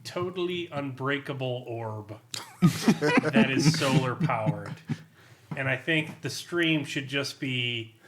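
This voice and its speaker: A man's voice: medium pitch, slow pace. A man brainstorming in a casual group chat, on a slightly noisy recording with clicks.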